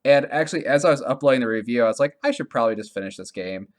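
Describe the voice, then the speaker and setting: A man with a medium-pitched voice chats as a podcast co-host. He speaks casually and haltingly, circling back to correct himself and padding his thoughts with "like" as he gives his impressions. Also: lively intonation. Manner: halting, self-correcting, heavy use of "like". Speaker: podcast co-host reviewing video games. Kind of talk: casual conversational game review chat.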